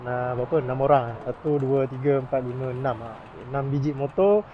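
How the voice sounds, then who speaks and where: A man's voice: medium pitch, a little fast, narrow, tinny audio. A young man with a Malaysian accent chatting casually as a motorcycle vlogger. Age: 20s. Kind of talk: casual vlog chat. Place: outdoors, car parks and on a motorcycle. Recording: noisy outdoor vlog with traffic and wind.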